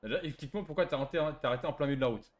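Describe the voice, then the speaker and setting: Male gamer, mid-pitched and very quick, mocking and slangy in casual voice chat. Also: expressive. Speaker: online roleplay player.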